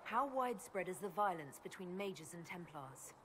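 Calm, questioning elf heroine. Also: female voice, lowish voice, normal speed, slight background noise. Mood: concerned. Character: level-headed, questioning young elf heroine. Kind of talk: video game dialogue acting.

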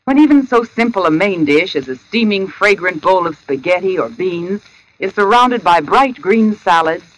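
A man's voice: high voice, animated, normal speed, a bit bright, low-quality recording. A man delivering a scripted testimonial in a 1940s radio advertisement.

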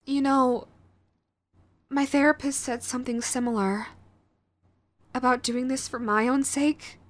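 A very high-voiced, clingy yandere girlfriend, acted by a woman in an intimate audio roleplay. She speaks slowly and hesitantly, sweet and remorseful as she pleads with the listener. The sound is close and dry. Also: steady tone, dry recording. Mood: hesitant, earnest. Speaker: voice actor.

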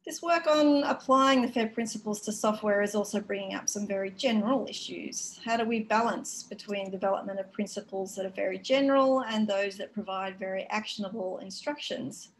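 A woman giving a conference keynote over a video call, explaining in a measured way with frequent fillers. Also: mid-range voice, slight background noise, rough recording. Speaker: keynote speaker. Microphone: video call webcam.

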